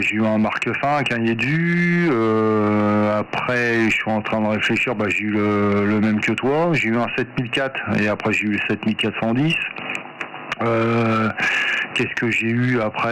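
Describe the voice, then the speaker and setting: Clear noise and clicks surround a man talking over a radio link. His voice sits fairly low. He rambles informally and hesitantly, like an operator chatting with a fellow enthusiast. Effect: two-way radio transmission. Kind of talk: casual radio chat.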